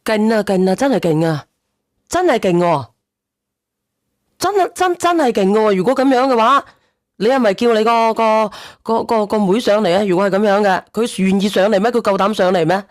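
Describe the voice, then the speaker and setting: A woman's voice: mid-range voice, lively intonation, moderate pace, no echo, studio quality. A woman hosting a casual call-in chat, questioning and interjecting as she goes. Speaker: call-in show host.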